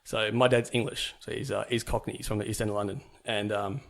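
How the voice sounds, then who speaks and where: A man's voice: lowish voice, flat delivery, fast, creaky. A young man from New Zealand, a podcast guest, telling his life story in a casual, rambling way with many fillers and restarts.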